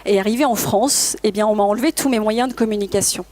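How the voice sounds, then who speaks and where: A woman's voice: mid-range voice, fast, a little mellow. A woman whose first language is English gives a presentation in French at a trade fair, speaking earnestly to her audience and sometimes correcting herself. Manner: earnest, motivational, addresses the audience directly; occasional self-corrections and hesitations. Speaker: conference speaker, language school founder. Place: talk at a professional trade fair.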